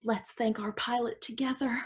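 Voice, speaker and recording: A woman in a staged play reading playing a composed, controlling ceremony leader, husky, measured and ceremonious. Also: a little high, fairly even intonation. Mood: reverent, measured.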